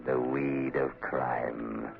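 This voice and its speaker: Extremely deep, sinister avenger. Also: male voice, flat delivery, vocal fry, exaggerated voice. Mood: sinister gloating. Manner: slow, sinister, theatrical. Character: ominous, gloating male avenger giving a grim moral. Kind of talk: old time radio drama acting. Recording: tinny old radio recording, music.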